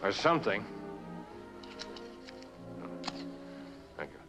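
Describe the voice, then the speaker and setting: An actor playing a determined, sardonic traveler in a suspense drama, speaking in clipped, insistent, dryly sarcastic lines. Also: male voice, very fast, music in the background, close to an everyday voice. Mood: dry, guarded. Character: determined, sardonic male traveler pressing for answers.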